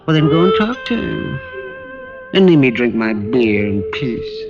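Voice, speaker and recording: Whining, surly radio-drama stool pigeon. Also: male voice, mid-range voice, very animated, unhurried. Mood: complaining. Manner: whining, grumbling, streetwise tough-guy slang. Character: mean, whining, surly underworld informer. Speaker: actor in a radio detective drama. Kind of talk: old-time radio acting. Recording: muffled old radio recording, music behind.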